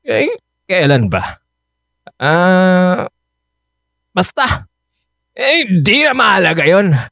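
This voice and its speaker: An actor playing a drunk, short-tempered live-in boyfriend in a radio drama, talking in a slurred, defensive way full of hesitant fillers. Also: male voice, sits high, big pitch swings, very slow, vocal fry, pushed and bright, no room sound, studio quality, heavily acted. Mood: irritated.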